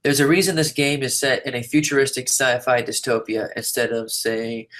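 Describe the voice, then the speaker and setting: Measured man with a mid-range voice. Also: medium pitch, fairly even intonation, a bit bright. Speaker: reader of an interviewee's typed answers. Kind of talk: reading written answers aloud in an interview.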